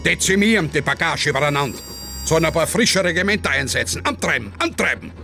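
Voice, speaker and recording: A man's voice: on the high side, sing-song, fast, creaky, pushed and bright, soft background music, heavily acted. A man playing an irascible, helpless and pompous Austrian general in a satirical radio play, spluttering, with an Austrian accent. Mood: angry, frantic.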